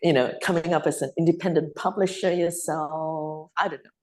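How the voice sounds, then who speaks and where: A woman's voice: sits low, fairly even intonation, normal speed, a little mellow. A woman interviewing a guest, asking curious questions.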